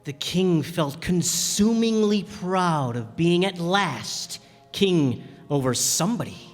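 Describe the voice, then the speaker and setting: Man acting gentle radio play narrator, reflective, slightly high voice, hesitant, reverberant hall. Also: expressive, slow. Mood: storytelling. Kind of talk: dramatic storytelling in a radio play. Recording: echoey hall with music bed.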